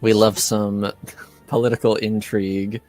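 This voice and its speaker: A man's voice: on the low side, flat delivery, measured pace, darkish tone, soft background music. A man casually hosting a tabletop roleplaying session as game master.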